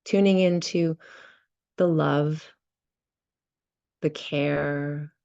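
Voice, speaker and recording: A woman leading a guided visualization and meditation, speaking gently and unhurriedly in short phrases with many pauses. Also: low-pitched, steady tone, gravelly, no room sound, muffled recording. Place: online video session.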